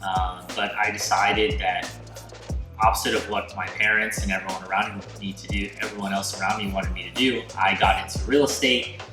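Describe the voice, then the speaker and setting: A man in his twenties from the Philadelphia suburbs, answering interview questions in a calm, matter-of-fact way. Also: fairly low pitch, normal speed. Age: 20s. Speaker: guest, real estate investor. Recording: echoey room with music behind.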